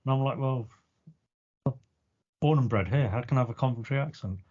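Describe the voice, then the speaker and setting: A man with a neutral English Midlands accent chatting easily on a podcast, thinking aloud with pauses. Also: mid-range voice, a little mellow. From East Midlands, England. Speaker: podcast co-host. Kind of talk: casual chat. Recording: dull lo-fi podcast audio.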